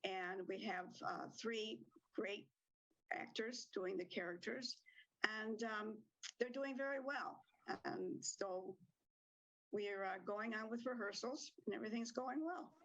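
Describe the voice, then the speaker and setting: A woman's voice: medium pitch, measured pace, highs cut off. An older woman who directs community theatre, answering interview questions in a chatty way with ums and small laughs.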